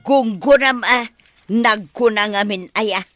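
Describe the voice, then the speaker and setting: A woman's voice: mid-range voice, a little slow, fairly bright tone, no room sound, faint music behind, close to an everyday voice. A woman playing a scolding village peacemaker in a comedy radio drama.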